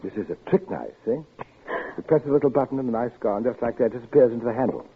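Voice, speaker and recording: An actor playing a soft-spoken, courtly but sinister man with a knife in a 1950s radio drama. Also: medium pitch, animated, fast talker, a little hiss or hum, natural voice. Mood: menacing. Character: smooth, sinister middle-aged man with a knife. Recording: old radio broadcast, tinny band.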